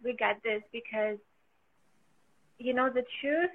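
A woman with a French accent speaks in a fairly high voice as a guest in a casual chat. She laughs often and sounds hopeful and full of faith. The sound is thin and narrow, like a phone line. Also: slow. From France. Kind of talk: casual conversation.

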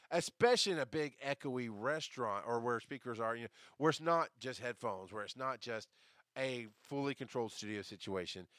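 A man with a deep, bass-heavy voice, speaking at a middling pitch into a podcast microphone. He tells a story casually, swears freely and acts out other people's lines with animation. The sound is close and dry, with no room echo. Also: a bit bright. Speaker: podcast host.

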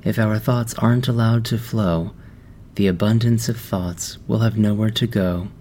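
A man guiding a meditation in a slow, soft, soothing voice with long pauses. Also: fairly low pitch, fairly even intonation, a little hiss or hum. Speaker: meditation guide. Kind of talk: guided meditation. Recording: music underneath.

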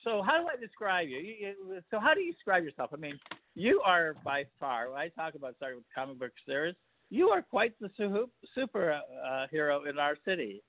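A man's voice: a little high, very animated, brisk. An older man hosting a podcast, talking casually in a rambling, hesitant way with many fillers. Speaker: podcast host. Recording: tinny phone-like line.

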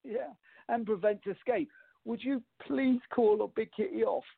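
A raspy, creaky actor as a young bachelor, nervous and exasperated in a farce radio play. His fairly high voice is flustered and sarcastic. The audio is narrow, like a telephone. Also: measured pace, dry recording, full character voice. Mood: nervous, placating. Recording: muffled, phone-like narrow-band audio.

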